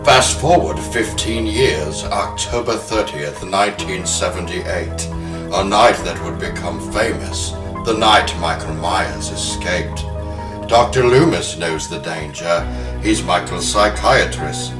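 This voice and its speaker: A man's voice: deep voice, animated, slow pace. A man hosting a horror podcast, moving between talk and dramatic storytelling. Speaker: podcast host. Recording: roomy, music behind.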